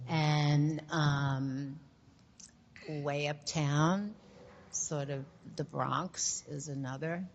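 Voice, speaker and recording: An older woman with a New York accent, hosting a casual interview chat and talking in an unhurried way with frequent ums. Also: low voice, vocal fry. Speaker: host.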